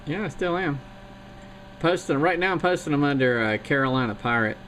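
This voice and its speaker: A man's voice: a little high, expressive, a little fast. A man chatting casually and rambling a bit as he talks on a CB radio. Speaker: CB radio operator. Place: home radio station. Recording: noisy radio transmission.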